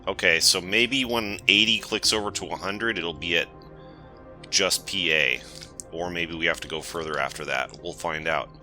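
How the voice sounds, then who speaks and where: A man's voice: sits low, normal speed, faint music behind. A man with a cold hosting a gaming channel, chatting casually and thinking aloud as he plays. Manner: relaxed, thinking aloud while playing. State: has a cold. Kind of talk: casual let's-play commentary.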